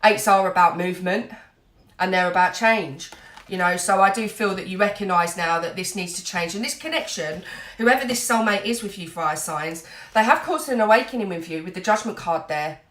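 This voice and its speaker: A woman's voice: fairly low pitch, normal speed, fairly bright tone, echoey room. A woman giving an earnest, reassuring tarot reading while full of cold, dotting her talk with "you know". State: has a cold, a bit snotty. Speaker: tarot reader.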